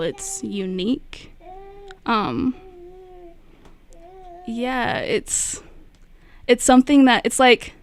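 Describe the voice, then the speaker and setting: Slow, soft-spoken young woman, reflective. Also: a little high, clear, resonant tone. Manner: slow and reflective, many fillers. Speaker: poet, radio guest. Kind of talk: spoken word poetry and casual radio talk. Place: radio studio.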